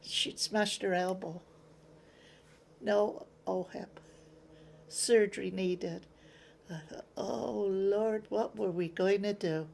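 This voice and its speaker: An older woman from England speaks with an English accent, unhurried and a little gravelly. She tells her memories plainly in an interview, and slips into the voices of people she remembers. Her pitch sits in an ordinary middle range. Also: fairly even intonation, darkish tone. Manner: plain-spoken storyteller, acts out remembered exchanges.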